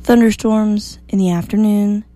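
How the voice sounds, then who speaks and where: Slightly hoarse upbeat morning host woman. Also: mid-range voice, steady tone, measured pace, mellow. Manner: chatty, upbeat, self-joking. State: a little hoarse. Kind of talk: casual morning show chat and weather.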